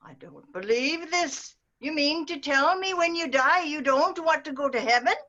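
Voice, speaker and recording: A woman's voice: high voice, lively intonation, normal speed, pushed and bright, muffled recording, voice pushed up. A woman voicing a blunt Irish priest who asks firm questions, read over a video call. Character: blunt Irish priest rounding up pub men.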